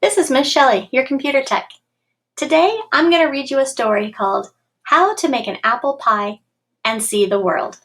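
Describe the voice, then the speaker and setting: A woman reading a picture book aloud to schoolchildren in an expressive, clearly paced storytelling way. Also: high-pitched, moderate pace.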